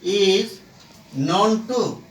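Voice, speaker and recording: A teacher explaining English grammar step by step, in short halting phrases broken by pauses. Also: mid-range voice. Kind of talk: slow explanatory grammar lesson. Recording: roomy echo, distant microphone.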